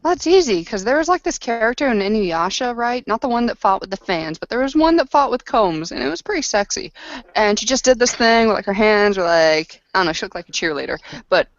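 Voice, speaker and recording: A woman chatting casually as a player in a tabletop roleplaying game, slangy, argumentative and quick to swear. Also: on the high side, expressive, no echo. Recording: dull, close lo-fi recording.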